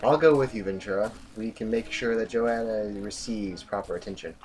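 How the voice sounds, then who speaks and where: A man's voice: on the low side, moderate pace, a little mellow, natural voice. Man acting a grim, blunt general over music. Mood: resolute. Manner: blunt, commanding, brooding. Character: grim, stern, disillusioned military general. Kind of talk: audio drama acting.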